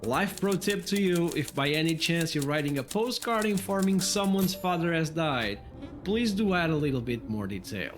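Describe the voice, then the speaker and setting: A man's voice: a little high, animated, moderate pace. A man reviewing a video game in a scripted, comedic narration with a wry, tongue-in-cheek manner. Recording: music behind the voice. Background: background music.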